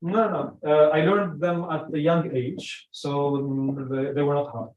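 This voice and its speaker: A man's voice: a little high, steady tone, unhurried, narrow, tinny audio. A middle-aged man, a native Arabic speaker, giving an informal talk to a small group in an emphatic way, repeating phrases for weight. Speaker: speaker at a community meeting on personal branding. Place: indoor meeting room. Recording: echoey room, far from the mic.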